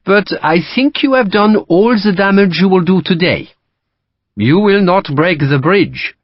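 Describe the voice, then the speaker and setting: A man voicing a smooth, politely mocking young French officer in an audiobook. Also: sits high, lively intonation, a little slow, no echo, dull audio, pitched above the performer's own voice. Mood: suave.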